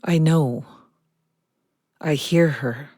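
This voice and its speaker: A woman acts as an old snake in an audio drama, calm and watchful. The voice is low and unhurried, with sparse, deliberate phrases. The sound is close and dry. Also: no echo, full character voice. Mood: watchful, ominous.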